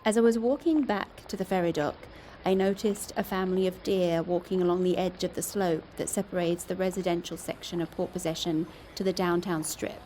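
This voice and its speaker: Female actor as investigative podcast reporter: lower register, conversational, candid, dry first-person storytelling. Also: steady tone, brisk, dark tone, a little hiss or hum, natural voice, poor audio. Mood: reflective. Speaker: reporter and narrator.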